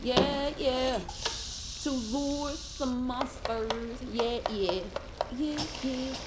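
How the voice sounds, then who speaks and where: A woman's voice: high-pitched, very slow, very noisy. An actress playing a wry, playful young woman in an audio drama, with a jokey manner. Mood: playful singing.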